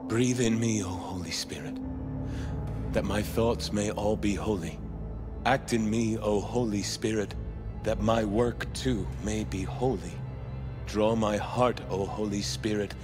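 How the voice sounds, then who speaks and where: An actor playing a frightened, devout condemned man in a video game, speaking solemnly and haltingly with earnest feeling. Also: lowish voice, lightly acted. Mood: prayerful. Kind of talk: video game dramatic acting. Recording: music behind the voice.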